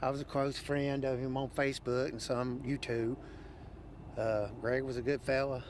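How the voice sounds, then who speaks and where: Male bystander, medium pitch, casual on-the-street news interview, light outdoor noise. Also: flat delivery, normal speed.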